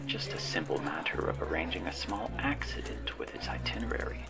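A man playing a sly, menacing, all-knowing cyberpunk handler in an ASMR roleplay, with a whispery, gravelly voice and a sardonic, teasing manner. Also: rumbling low, big pitch swings, loud music behind the voice, heavily acted. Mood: wry, coolly menacing. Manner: slow-burning, sardonic, teasing.

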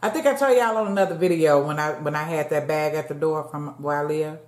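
A woman's voice: sits low, a little fast, reverberant. A woman in her late fifties chatting casually with viewers while eating, talking to them as y'all. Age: late 50s. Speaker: mukbang vlogger. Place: dim room at home, seated at a table. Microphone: fixed camera across the table.

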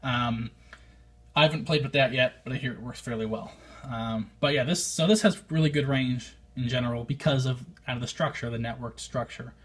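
A man with an American accent and a husky, slightly rough voice at a middle pitch. He talks off the cuff like a hobbyist reviewing gear at home, working through his thoughts as he goes and softening his opinions. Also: a little fast. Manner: rambling, thinking aloud, hedging opinions. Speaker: amateur radio hobbyist, vlogger. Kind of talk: casual unscripted review to camera.

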